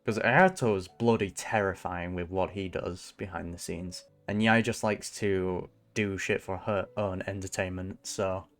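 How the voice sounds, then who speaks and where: Very low-pitched slangy game streamer. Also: fairly even intonation, a little slow, hardly any pauses. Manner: offhand, slangy, mildly sweary. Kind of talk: casual live playthrough commentary. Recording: commentary over game music.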